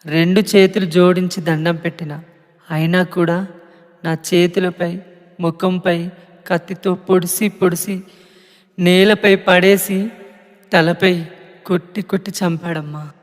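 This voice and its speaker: The spirit of a murdered young woman, voiced by a man, mourning and pleading with her family. The voice sits fairly high and the words come very slowly. A long reverb trails behind every phrase. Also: little pitch movement. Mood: anguished, pleading. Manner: pleading, sorrowful address to family. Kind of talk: emotional monologue.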